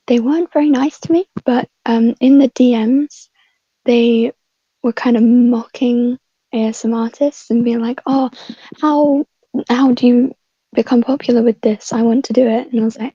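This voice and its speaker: A woman's voice: on the high side, steady tone, a little slow, long pauses, warm tone, husky, dry recording. A woman chatting casually as a podcast panelist, recorded with dull, lo-fi microphone sound. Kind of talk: casual chat.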